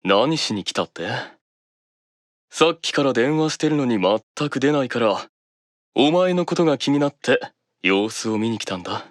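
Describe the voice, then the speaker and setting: A man playing a stern, composed, arrogant demon eldest brother in an anime audio drama, speaking coolly and deliberately. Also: mid-range voice, lively intonation, no room sound, clean studio sound, natural voice. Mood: concerned, cool. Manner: cool, commanding, deliberate.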